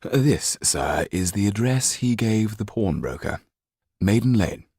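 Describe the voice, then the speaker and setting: Guy doing a proper servant valet for an audiobook, very formal and deferential, pitched up a bit, dry recording. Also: expressive, unhurried, dark tone, breathy edge, dry recording, exaggerated voice. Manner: formal, precise, servile. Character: deferential, unruffled male valet reporting to his master.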